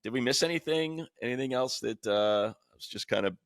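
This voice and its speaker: A man's voice: mid-range voice, a little fast, dry recording, clean studio sound. A man with an American accent hosting a casual sports talk show, speaking in a colloquial, emphatic way.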